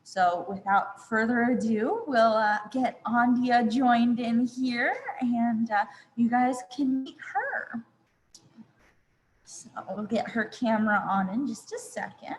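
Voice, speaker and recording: A woman's voice: fairly high pitch, takes it slowly, long reverb tail, a little hiss or hum, poor audio. A woman hosting a web chat interview over a video call, chatty and friendly, with frequent ums. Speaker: host.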